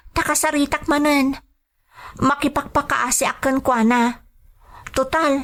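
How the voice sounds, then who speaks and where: A woman's voice: a little high, lightly acted. A woman with a Filipino accent playing a patient, anxious young maid working abroad in a radio drama. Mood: worried, reassuring. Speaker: drama actor. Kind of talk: radio drama acting and letter narration.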